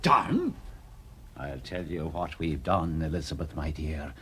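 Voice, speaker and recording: An actor playing a grandiose, mockingly polite tomb-robbing villain in a radio drama, theatrical and condescending. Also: male voice, rumbling low, lively intonation, a little slow, bright, forceful tone, breathy edge, full character voice. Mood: grandiose, gloating.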